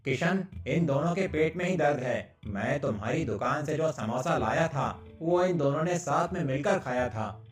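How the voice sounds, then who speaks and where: A male actor plays a plain-spoken village father in a cartoon moral tale. His pitch is fairly high with lively swings. The recording is roomy. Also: normal speed, natural voice. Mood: accusing. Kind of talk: Hindi cartoon moral story acting. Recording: roomy, distant, faint music underneath.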